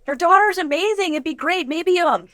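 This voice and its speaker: A woman hosting a casual, conversational interview, chatty and quick to echo back what she is told. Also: very high-pitched, fairly bright tone. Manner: chatty, reacts and echoes answers.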